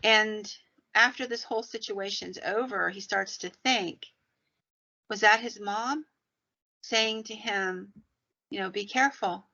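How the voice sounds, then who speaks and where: A woman's voice: mid-range voice, slow, gravelly, long pauses, bright, forceful tone. A woman podcast host telling paranormal stories in a conversational, folksy way with rambling asides. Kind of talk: conversational storytelling about the paranormal. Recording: dull, lo-fi sound.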